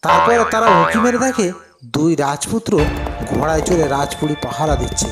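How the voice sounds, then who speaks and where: A high-pitched male storyteller narrates a scripted folktale. Music plays clearly behind his voice throughout. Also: moderate pace.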